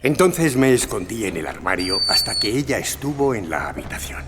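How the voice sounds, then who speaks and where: Sinister, wildly swinging child killer. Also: male voice, on the low side, big pitch swings, moderate pace, heavy background noise. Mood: chilling, confessional. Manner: chilling, hushed confiding that swings to sing-song coaxing. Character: sadistic older male child killer reading his own confession, falsely sweet. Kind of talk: dramatized true-crime audio drama. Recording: music under the voice.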